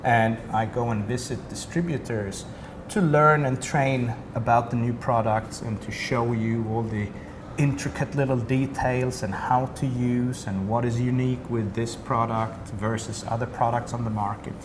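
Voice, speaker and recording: A man's voice: mid-range voice, fairly even intonation, moderate pace. A man from Sweden with a Swedish accent, a company representative explaining a product in detail during an interview. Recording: noisy room ambience.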